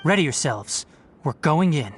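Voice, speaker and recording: Composed, earnest young officer. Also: male voice, a little high, lively intonation, measured pace, slight background noise. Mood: calm, serious. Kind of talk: video game voice acting. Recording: background game music under the voice.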